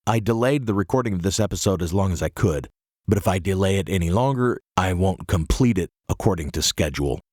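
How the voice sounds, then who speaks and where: A hoarse man narrating a history podcast in a measured, earnest way. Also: sits low, expressive, a little mellow, no room sound, studio quality. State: hoarse, recovering from illness. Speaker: podcast host.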